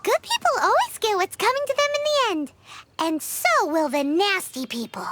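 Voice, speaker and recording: A woman's voice: very high voice, very animated, normal speed, heavy background noise. A woman voicing a tiny, chatty fairy-like girl companion who is bossy and cheeky in a game. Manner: bossy, chirpy, speaks of herself by name. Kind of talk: game dialogue.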